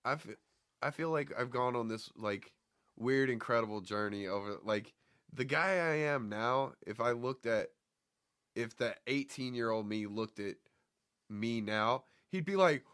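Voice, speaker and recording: A man's voice: medium pitch, unhurried, a bit bright, smooth. A man from the Southern United States, a comedian, chatting casually and profanely as a podcast guest.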